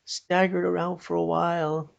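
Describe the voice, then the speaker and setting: An older man with a North American accent and a gravelly voice, telling a personal story in an unhurried, plain-spoken, folksy way, as if passing on a lesson. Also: on the high side, little pitch movement. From Pennsylvania, United States. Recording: dull, muffled lo-fi recording.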